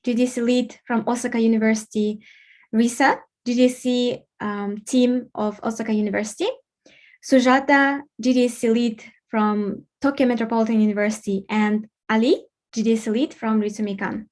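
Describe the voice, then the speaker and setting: A young woman from Kazakhstan hosting an informal online event, speaking haltingly with pauses mid-sentence. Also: a little high, fairly even intonation, a little mellow. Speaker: event host and facilitator. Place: online video call.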